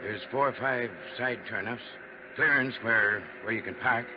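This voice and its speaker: An actor playing an anxious, older small-town father in a 1950s radio drama, speaking in a fretful, halting, folksy way. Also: medium pitch, natural voice. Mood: anxious, thinking aloud. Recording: muffled, noisy 1950s radio recording.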